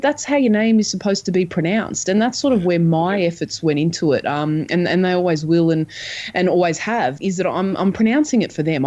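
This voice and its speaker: A woman's voice: fairly low pitch, lo-fi sound. An Australian woman, a podcast guest whose first language is Croatian, talking earnestly in a casual interview chat. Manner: earnest, chatty, animated when making a point. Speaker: podcast guest, football presenter.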